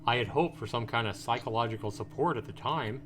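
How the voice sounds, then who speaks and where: A man's voice: mid-range voice, a little fast, a bit bright, slight background noise, lightly acted. A man voicing a distraught, defiant father as he reads a visual novel game. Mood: troubled, weary. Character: distraught, hot-tempered father, the game's suspected ex-husband.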